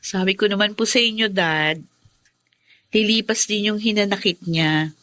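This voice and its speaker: An actress playing a gentle young adult daughter who tries to keep the peace in her family, in a radio drama. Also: mid-range voice, moderate pace, close to an everyday voice. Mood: soothing. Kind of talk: Filipino radio drama acting.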